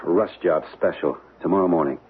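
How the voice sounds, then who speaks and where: An actor playing a wary young American newlywed husband in an old-time radio drama, husky, slangy, hesitant and wry. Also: male voice, deep voice, fairly quick. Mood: dry, measured. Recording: tinny band-limited old radio broadcast.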